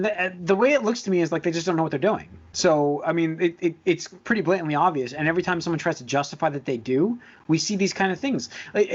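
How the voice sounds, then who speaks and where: Fast-talking, higher-voiced man, blunt and opinionated. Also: highs cut off. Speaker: podcast co-host. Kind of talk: casual movie discussion among friends.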